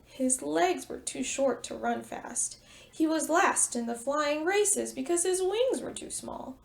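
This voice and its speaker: A woman preschool teacher doing an expressive storytime read-aloud, speaking directly to young children. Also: sits high, slow, vocal fry. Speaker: preschool teacher reading a picture book.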